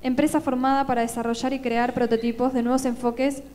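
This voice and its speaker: Woman moderator, high voice, reads a speaker bio in a steady run, faint room noise behind. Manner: brisk, reads a biography aloud. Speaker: conference moderator. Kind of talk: reading a speaker introduction.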